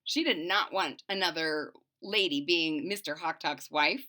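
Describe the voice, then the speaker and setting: A woman storyteller reading a children's picture book aloud expressively, pausing to recap it in simple words. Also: deep voice, sharp tone.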